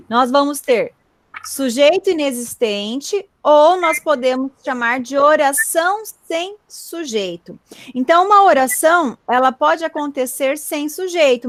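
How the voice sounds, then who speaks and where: A woman teaching an online class from home, her voice set high and captured dry through a computer mic. She explains each rule patiently and keeps checking that her students follow. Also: dry recording. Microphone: computer mic on a video call.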